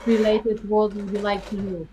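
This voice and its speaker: A woman's voice: medium pitch, little pitch movement, normal speed, dark tone. A woman co-hosting an online show over a video call, curious and asking questions. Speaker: show co-host. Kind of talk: casual video call chat. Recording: distant mic with hiss.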